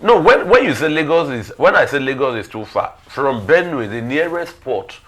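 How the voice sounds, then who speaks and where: A man with a Nigerian accent giving detailed conversational analysis on a talk show, in a halting, thinking-aloud way. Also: medium pitch, lively intonation, a bit bright. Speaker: current affairs talk show speaker.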